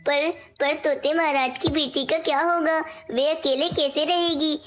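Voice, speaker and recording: A woman's voice: high-pitched, steady tone, measured pace. A woman voicing a naive, dutiful female songbird in a cartoon, earnest and polite. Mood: concerned. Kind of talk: cartoon moral-story dialogue.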